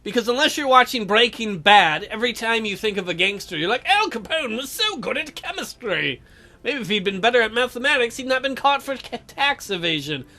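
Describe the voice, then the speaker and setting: Female let's play host, high bright voice, sarcastic and teasing, casual joking delivery. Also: expressive, fairly quick, few pauses. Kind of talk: casual joking game commentary, reading dialogue aloud.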